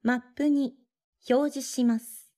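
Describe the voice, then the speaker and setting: A woman voicing a hearing aid's navigation system, making measured, formal announcements in an audio drama. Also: high-pitched, steady tone, dark tone. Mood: neutral, informative. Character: female navigation voice of a hearing aid device.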